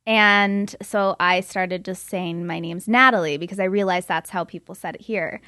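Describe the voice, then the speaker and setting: A woman's voice: fairly low pitch, steady tone, normal speed, bright, forceful tone, dry recording, studio quality. A woman from Belgium telling personal stories as an interview guest, in an informal, chatty way sprinkled with "like". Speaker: guest, model and entrepreneur. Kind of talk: casual interview storytelling.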